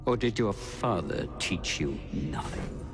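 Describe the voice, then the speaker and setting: An actor playing a dying old zealot in a game cutscene, speaking in a theatrical, condescending way. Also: male voice, low voice, lively intonation, takes it slowly, heavily acted. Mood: mocking. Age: older. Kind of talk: dramatic game cutscene acting. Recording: music behind the voice. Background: music.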